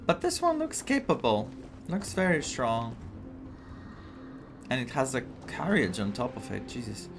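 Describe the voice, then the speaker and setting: A man from Greece comments casually as he plays a video game. His voice is medium in pitch, excitable and full of thinking aloud. Soft music sits in the background. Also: a little slow, gravelly, long pauses. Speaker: gaming streamer. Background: faint game music.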